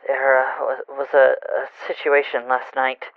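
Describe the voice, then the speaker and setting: A man's voice: medium pitch, monotone, a little slow, gravelly, no echo. A man playing an anxious, rattled coworker on the phone in an audio drama, stammering nervously through a phone filter. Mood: shaken. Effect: phone or radio filter. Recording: muffled, band-limited phone call effect.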